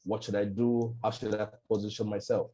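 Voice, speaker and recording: A man moderating an online panel over a video call, relaxed and leaning on "you know" as he talks. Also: lowish voice, brisk, dull audio. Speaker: webinar moderator.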